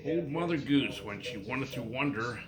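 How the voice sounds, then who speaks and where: A man reading nursery rhymes aloud to his child in a sing-song, unhurried way, pausing and repeating lines. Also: medium pitch, expressive, reverberant, crowd noise, low-quality recording.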